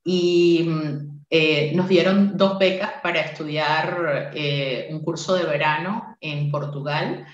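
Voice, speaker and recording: Woman, 40s, teacher giving a conference talk, lower voice, echoey room. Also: steady tone, slow pace, hardly any pauses, dull audio. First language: Spanish. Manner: friendly, interactive, with frequent "eh" fillers. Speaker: university English teacher presenting at a workshop.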